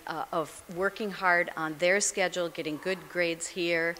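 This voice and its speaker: Low-voiced woman in a reverberant room. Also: fairly bright tone, slight background noise. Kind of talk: interview advice to students.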